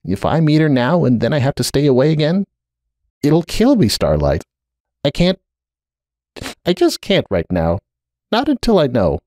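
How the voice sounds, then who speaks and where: An anxious, weary unicorn wizard from a storybook drama, acted out by a man. He speaks earnestly and hesitantly, with a very lively, swooping range in a dry, close recording. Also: fairly high pitch, normal speed, pauses often, dry recording, pitched above the performer's own voice. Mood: anguished.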